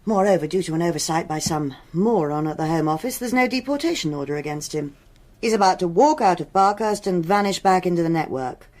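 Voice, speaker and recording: An actress playing a stern, no-nonsense police superintendent in a radio drama, clipped and authoritative. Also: female voice, on the low side, brisk, a little mellow, natural voice. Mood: stern, scornful. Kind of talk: BBC radio drama acting.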